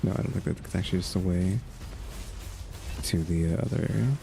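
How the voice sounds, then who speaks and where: A man's voice: low-pitched, fairly quick, gravelly, music in the background. A man giving casual video game commentary, muttering and thinking aloud as he plays. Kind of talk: casual gameplay commentary, reading quest text.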